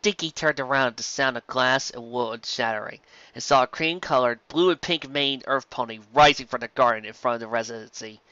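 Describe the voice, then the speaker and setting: A man reading a story aloud as narrator, in an expressive scripted style. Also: medium pitch, a little fast, no room sound, lo-fi sound. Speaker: narrator, fan fiction reader.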